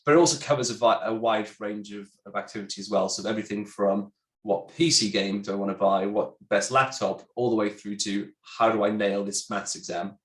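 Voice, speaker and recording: A congested man with a chatty, halting manner, a sales director presenting a webinar. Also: on the low side, fairly even intonation. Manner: chatty, many ums and restarts. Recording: roomy echo.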